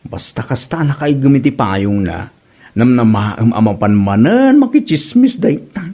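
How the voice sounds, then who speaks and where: A man's voice: mid-range voice, big pitch swings, moderate pace, dark tone. A man playing a villager passing on neighborhood news in a comedy drama, with an Ilocano Filipino accent. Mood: chatty, complaining. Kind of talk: amateur comedy radio-style drama.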